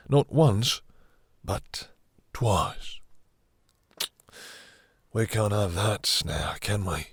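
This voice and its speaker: A man playing a possessive, domineering vampire master in an intimate roleplay audio drama, with slow, theatrical, condescending menace and a breathy, seductive purr. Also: fairly low pitch, dry recording, clean studio sound, full character voice. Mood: commanding, menacing.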